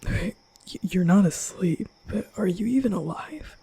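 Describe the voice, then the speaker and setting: A young man playing an awkward, nervous vampire in an ASMR roleplay, stammering and muttering in breathy whispers. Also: sits high, lively intonation, dark tone, full character voice. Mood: nervous bafflement. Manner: halting, stammering, muttering to himself. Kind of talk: ASMR roleplay audio drama.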